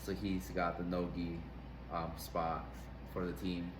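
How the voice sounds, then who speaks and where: A man's voice: low voice, flat delivery, measured pace. A young man, a wrestling coach, answering interview questions casually, rambling with fillers and restarts. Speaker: grappling athlete and wrestling coach. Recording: echoey room with background noise.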